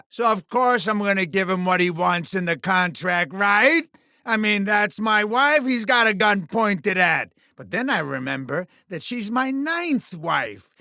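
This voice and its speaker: A man's voice: high voice, sharp tone, dry recording, studio quality, exaggerated voice. A man playing a sleazy, bombastic alien TV mogul villain in a video game, with theatrical, condescending showbiz patter. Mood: sleazy, dismissive.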